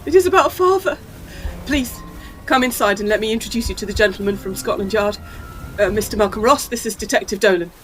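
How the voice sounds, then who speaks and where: An actress playing an anxious, refined young Victorian gentlewoman in a gothic audio drama, with dramatic delivery. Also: mid-range voice, brisk, faint music behind, lightly acted. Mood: anxious, gracious. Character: distraught, well-bred young Victorian gentlewoman, devoted daughter.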